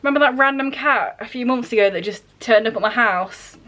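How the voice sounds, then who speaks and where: High-voiced woman, chatty and informal. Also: fairly quick, fairly bright tone. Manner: informal, self-deprecating, dry asides, mild swearing. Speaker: book vlogger. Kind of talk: casual chatty vlog to camera.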